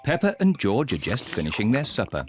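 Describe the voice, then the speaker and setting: A man narrating a children's cartoon in a calm, measured storybook style. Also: medium pitch. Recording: music under narration.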